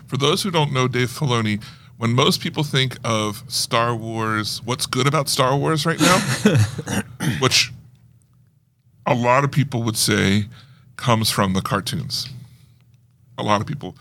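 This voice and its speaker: Gravelly-voiced man chatting as a geeky co-host. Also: medium pitch, measured pace, long pauses, fairly bright tone, slight background noise. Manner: geeky, explains fan lore at length. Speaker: podcast co-host reviewing film news. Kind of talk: casual chat.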